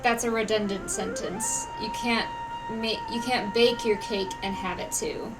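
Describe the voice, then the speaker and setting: A woman's voice: fairly high pitch, fairly even intonation, unhurried, over loud music, poor audio. A woman live-streaming a game, reacting casually with wry, opinionated asides.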